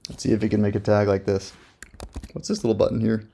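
A man with a gravelly voice, casually reviewing software while thinking aloud in a slangy, joking, laid-back way. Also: on the low side, fairly even intonation, fast, a little hiss or hum.

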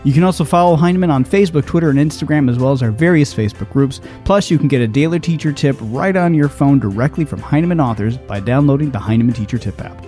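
Mellow-voiced man hosting a podcast. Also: mid-range voice, fast talker, hardly any pauses. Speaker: podcast host. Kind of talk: scripted podcast outro. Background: faint music.

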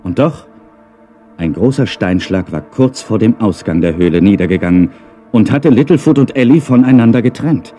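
A man's voice: lowish voice, expressive, normal speed, warm tone, faint music behind. A man narrating a scripted children's audio story.

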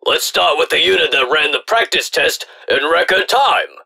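An actor playing a gruff, commanding clone trooper drill officer in an animated sci-fi war drama, stern and authoritative, with a gravelly voice. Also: male voice, fairly high pitch, moderate pace, heavily acted. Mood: rallying, commanding. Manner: stern, authoritative, barking orders.

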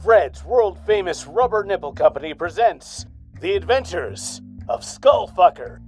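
A man announcing in an over-the-top 1940s radio serial manner, pressing hard on each cliffhanger. His voice is a touch high for a man, and soft music runs underneath. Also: sing-song, measured pace. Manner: dramatic announcer delivery. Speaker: narrator and announcer.

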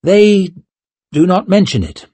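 A man's voice: high voice, very animated, warm tone, no room sound, pitched above the performer's own voice, exaggerated voice. A man voicing a stately, composed middle-aged noblewoman speaking formally in an audiobook. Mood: grave. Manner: measured, formal.

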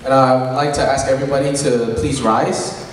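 A young man from American Samoa co-hosts a student show on stage, upbeat and full of fillers. His voice is recorded from far across the room. Heavy hall reverb and clear background noise surround him. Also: medium pitch, fairly even intonation, fairly quick. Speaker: co-emcee of a student cultural show. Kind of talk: casual stage hosting. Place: large event hall on a stage. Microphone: handheld mic heard through hall speakers.

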